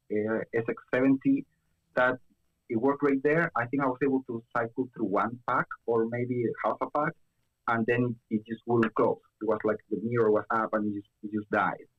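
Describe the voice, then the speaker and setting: A man's voice: mid-range voice, dull audio. A young man from Chile chatting casually as a guest, with lots of fillers and restarts. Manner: hesitant, many fillers and restarts. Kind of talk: casual chat.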